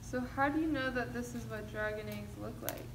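A woman's voice: on the high side, a little fast. A woman co-hosting a craft video with casual, playful chat, her voice slightly muffled by a face mask. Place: indoor room with decorated backdrop. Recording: clear room noise, table-distance camera.

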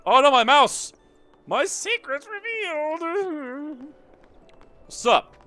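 A man's voice: very high-pitched, very animated, slow pace, noisy background. A man giving casual gameplay commentary, joking crudely and irreverently as he plays. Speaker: let's play commentator. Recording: game music behind voice. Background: video game music and dialogue.

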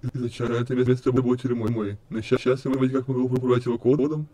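A man's voice: medium pitch, flat delivery, quick pace, mellow, close to an everyday voice. A man voicing an insane, menacing skeleton in an amateur comic dub, with glitchy stutters and repeated words. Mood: casual, unhinged.

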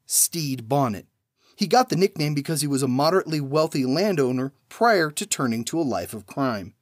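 A man hosting a trivia podcast, reading numbered questions and then giving each answer after a pause, in a measured way. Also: fairly high pitch, lively intonation, no room sound, clean studio sound.

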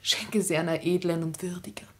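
An actress playing a sorrowful, dutiful young woman in love in a 1950s German radio drama, pleading, solemn and breathy. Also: on the low side, steady tone, a little fast, fairly bright tone, natural voice.

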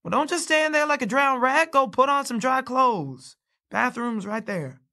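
An actress playing a gruff, bitter, hard-drinking motel recluse in an audio play, curt and needling, with folksy speech. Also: female voice, high-pitched, expressive, a little slow, bright, forceful tone, heavily acted. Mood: gruff, bossy. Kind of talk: audio drama acting of a stage play.

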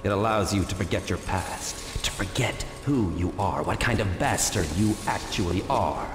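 A man's voice: on the low side, normal speed, lightly acted. A man playing a smug, cruel villain commander in a video game, pompous and mocking in a theatrical way. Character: smug, cruel male villain commander taunting beaten rebels. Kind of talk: dramatic video game voice acting. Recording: echoey hall reverb under loud music.

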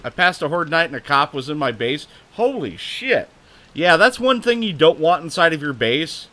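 A man's voice: fairly high pitch, very animated, moderate pace, pushed and bright. An American man hosting a gaming livestream, chatty, laughing often and swearing when something surprises him. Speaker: livestream host. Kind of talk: casual gaming livestream chat.

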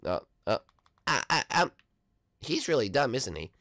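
A woman's voice: a bass voice, big pitch swings, a little slow, fairly bright tone, no room sound, studio quality, heavily acted. A woman voicing a nervous, boastful young boy who stammers and hesitates, in a homemade cartoon play.

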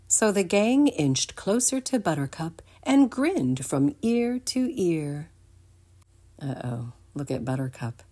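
A woman's voice: deep voice, big pitch swings, slow, warm tone. A woman reading a children's storybook aloud expressively.

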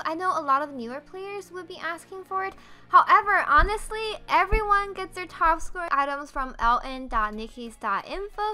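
Female game guide host: high, bright voice, casual explainer delivery.

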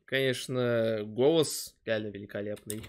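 A man's voice: on the low side, a little slow, darkish tone, a little hiss or hum. A young man giving casual reaction commentary as a streamer, close to a microphone indoors. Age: young adult. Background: faint soundtrack of the cartoon.

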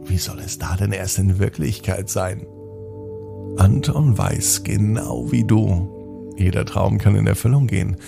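A man telling a children's bedtime story in a slow, soothing, softly spoken way. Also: lowish voice, gravelly, over music. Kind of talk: gentle bedtime story narration.

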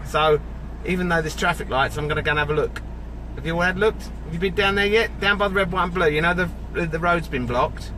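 A man's voice: a little high, fast talker. A man chats casually and jokingly to his followers, often mock-serious, while driving a van. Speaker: vlogger livestreaming to followers. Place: inside a moving van. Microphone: phone mounted on dashboard. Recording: loud road noise inside moving vehicle.

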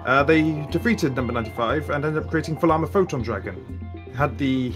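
A man acting the eager, hesitant sidekick in an amateur video game roleplay, chatting away under a loud soundtrack. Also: fairly high pitch, brisk, natural voice. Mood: earnest. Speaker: player in a Minecraft roleplay. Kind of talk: improvised roleplay dialogue. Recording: loud music behind the voice. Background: loud music.

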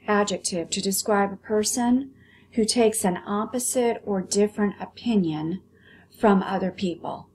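An American woman teaches and reads aloud to children in an explanatory way. Her mid-pitched voice moves up and down a lot, with faint noise behind it. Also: darkish tone. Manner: explanatory, clearly phrased for children. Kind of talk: vocabulary lesson and expressive story read-aloud.

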